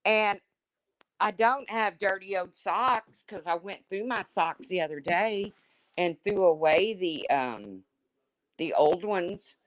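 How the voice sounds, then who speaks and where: A woman with a deep, husky smoker's voice hosting a livestream, reading chat comments and answering them in a blunt, chatty way. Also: animated, slow pace, dry recording, low-quality recording. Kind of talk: casual chat with viewers.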